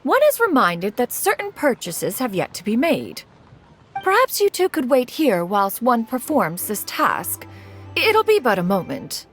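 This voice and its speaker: Haughty immortal woman, theatrically animated. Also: on the high side, a little slow, soft background music. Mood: aloof, musing. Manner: imperious, archaic formal diction, calls herself "one". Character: haughty, aloof elder immortal woman, proud and eccentric. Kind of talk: video game voice acting.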